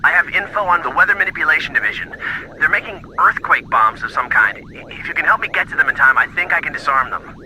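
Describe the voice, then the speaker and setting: A man's voice: fairly high pitch, lively intonation, fast, sharp tone, a little hiss or hum, exaggerated voice, low-quality recording. An actor playing an eager, nervy young male informant in a video game, chatty and informal in manner. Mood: earnest.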